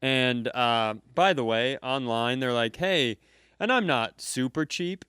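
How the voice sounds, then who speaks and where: A man telling a comic rant at a live comedy club, acting out people in high shouty voices. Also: slow, clear, resonant tone, no echo, studio quality. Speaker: podcast co-host. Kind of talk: comedic storytelling.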